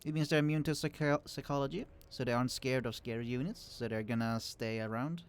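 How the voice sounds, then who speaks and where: A man casually commenting on a strategy game he is playing, explaining units with many fillers and restarts, his voice kept quiet and turned down in the recording. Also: mid-range voice, fast, clean tone. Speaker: let's play host. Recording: quiet voice, turned down to hide computer hum.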